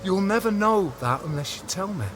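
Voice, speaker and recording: Actor as wounded, stubborn boyfriend, high male voice, very animated, earnest audio-play dialogue over music. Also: a little fast. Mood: resigned, probing. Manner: earnest, emotional, protesting. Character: hurt, sensitive, stubborn boyfriend trying to break up. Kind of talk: audio drama acting, intimate dialogue.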